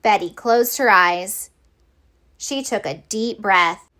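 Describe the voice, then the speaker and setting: Expressive, bright-voiced woman reading a storybook. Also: medium pitch. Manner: expressive, deliberate phrasing for young listeners. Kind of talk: children's picture book read-aloud.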